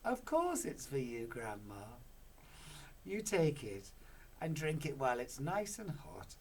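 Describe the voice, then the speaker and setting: A storyteller voicing an excitable, impatient father who shouts his orders. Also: moderate pace, full character voice. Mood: sly coaxing. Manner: loud, shrill, shouty urging.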